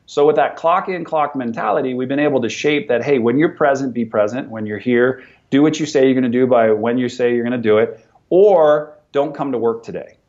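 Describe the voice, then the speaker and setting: A man in his forties, a business owner talking as an interview guest in an energetic, motivational way, often repeating words as he starts sentences. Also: medium pitch, brisk, hardly any pauses, muffled recording. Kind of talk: conversational interview.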